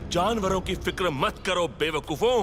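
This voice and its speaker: A man's voice: high voice, lively intonation, normal speed, over music. A man voicing an irritable, scolding villain in a dubbed cartoon. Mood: angry, scolding.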